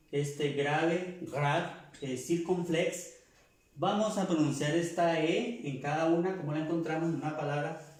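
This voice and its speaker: A man from Latin America with a somewhat high voice speaks very slowly, walking learners through pronunciation rules. He repeats each point and stops to correct himself, and his voice rings in a very echoey room. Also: darkish tone. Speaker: French teacher. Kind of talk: explaining pronunciation lesson.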